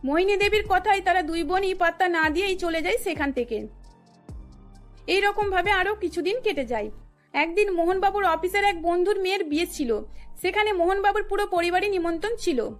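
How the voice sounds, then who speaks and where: A woman's voice: very high-pitched, brisk, faint music behind. A woman narrator reading a scripted cartoon story.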